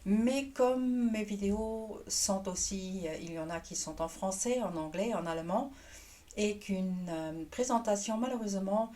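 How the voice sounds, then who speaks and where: A woman's voice: medium pitch, a little slow, dark tone. Luxembourgish woman, hesitant French. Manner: hesitant, searching for French words with restarts. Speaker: tarot reader and energy worker. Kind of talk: casual YouTube introduction.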